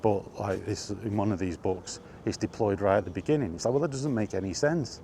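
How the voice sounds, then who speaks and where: Man, very low voice, casual walking monologue, rambling, self-correcting, faint outdoor noise. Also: fairly quick, vocal fry, dark tone. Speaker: vlogger. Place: outdoors on a walk.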